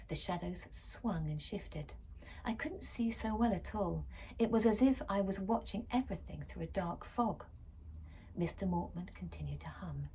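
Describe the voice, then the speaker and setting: A woman reading a children's story expressively and dramatically, shifting between hushed and emphatic delivery. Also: fairly low pitch, breathy edge, poor audio. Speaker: story reader.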